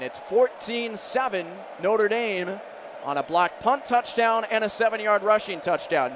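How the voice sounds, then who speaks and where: An American man calling live football play-by-play on the radio, setting each scene in detail. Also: high-pitched. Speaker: play-by-play announcer. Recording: loud stadium noise behind broadcast. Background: loud outdoor stadium ambience.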